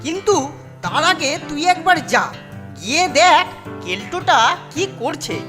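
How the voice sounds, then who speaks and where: A woman voicing a mischievous schoolboy prankster with a lively, conspiratorial manner. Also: sits high, a little slow, faint music behind, heavily acted. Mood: plotting.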